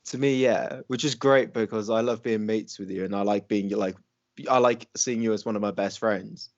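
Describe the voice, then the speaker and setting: A man's voice: fairly low pitch, steady tone, normal speed, dull audio. A young man from Wales, with an accent that mixes English, American and Welsh, co-hosting a podcast in casual, rambling talk full of ums and restarts. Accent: mixed English, American and Welsh. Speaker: podcast co-host. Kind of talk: casual chat.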